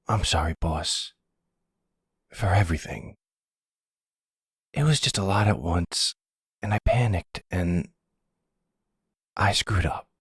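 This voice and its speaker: Young son, male performer: nervous, naive, pleading and apologetic, raised pitch, hesitant delivery, intimate roleplay drama. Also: slightly rough, dry recording, studio quality, exaggerated voice. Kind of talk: ASMR roleplay audio drama.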